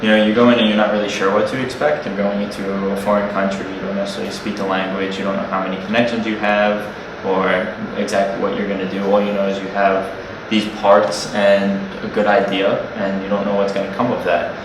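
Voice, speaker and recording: A young man with a very deep, flat voice talks earnestly in long, building sentences. He sounds distant from the mic, in a roomy, echoing space. Steady noise sits under the voice. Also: fairly quick, hardly any pauses. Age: young adult. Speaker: nonprofit co-founder. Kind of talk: interview answers. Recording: echoey room, distant mic, noisy.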